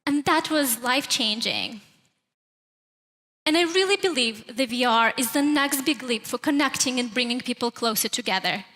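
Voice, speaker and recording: A woman with a Russian accent presents a prepared talk to a conference. Her voice sits high and has a bright, projected edge. It rings through a large hall with long reverb. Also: animated, moderate pace. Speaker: presenter at a youth tech summit.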